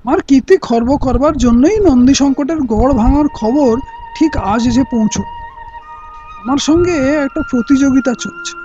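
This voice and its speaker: A proud royal engineer in an audio drama, ruthless and boastful. The delivery is declamatory and mellow-dark in tone. The recording is lo-fi and muffled, with faint music behind. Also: male voice, very high-pitched, wildly swooping pitch, moderate pace, full character voice. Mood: proud, defiant. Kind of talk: Bengali audio play acting.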